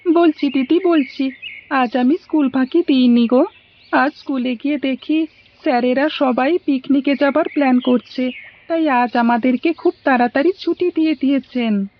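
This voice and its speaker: Woman as an eager, high-voiced cartoon schoolboy. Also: moderate pace, a little hiss or hum, natural voice. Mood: pleading. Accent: Bangladeshi. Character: cheerful, eager schoolboy, a helpful little brother. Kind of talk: animated cartoon dialogue. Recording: dull lo-fi audio.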